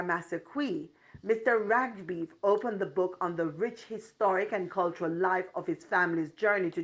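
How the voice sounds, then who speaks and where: A woman giving formal scripted narration for a news report. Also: medium pitch. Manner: formal, even news delivery.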